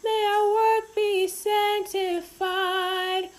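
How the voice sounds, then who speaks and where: A woman's voice: extremely high pitch, flat delivery, very slow, soft background music. A woman singing a devotional song. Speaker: singer.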